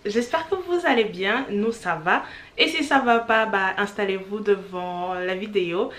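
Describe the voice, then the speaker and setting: A woman's voice: mid-range voice, measured pace, a bit bright. Young woman vlogger, giggly, chatty, talks casual with her partner. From France, Cameroonian family. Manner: giggly, chatty, frequent laughter. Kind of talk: casual couple vlog chat.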